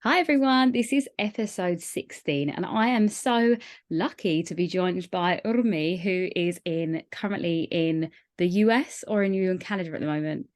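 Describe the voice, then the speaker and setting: Podcast host, woman, lowish voice, friendly and encouraging, casual with fillers. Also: normal speed. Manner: upbeat and encouraging, lots of fillers. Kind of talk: casual interview chat.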